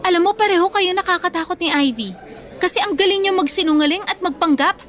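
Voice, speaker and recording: Casting: defiant wronged schoolgirl, Filipino accent, high voice, mocking and confrontational, radio melodrama. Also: fast, a bit bright. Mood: scornful, righteous. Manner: taunting, confrontational, emphatic. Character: wronged young female student, vengeful, scornful and defiant. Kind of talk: Filipino radio drama acting.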